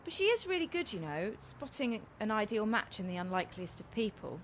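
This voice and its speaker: A woman's voice: mid-range voice, big pitch swings, fairly quick, slight background noise, natural voice. A woman playing a frank, kind-hearted young woman in a radio comedy, chatty and earnest as she explains herself. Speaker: actor in a radio play. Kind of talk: naturalistic radio comedy acting.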